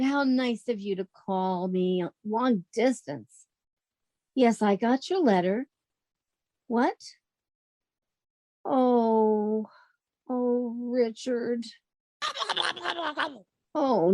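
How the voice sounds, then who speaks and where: Woman playing sensible gal roommate, wry n exasperated, highish voice, old radio comedy, dry close sound. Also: extremely slow, long pauses, warm tone, no echo. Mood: flustered, frantic.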